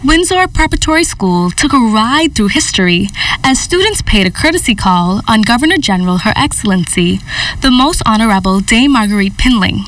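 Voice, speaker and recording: A woman reporter with a fairly high voice reads a scripted news story, her pitch rising and falling in lively swings. The mic sits a little away from her. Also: a little fast, dry recording, far from the mic, rough recording. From Bahamas. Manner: crisp, scripted delivery.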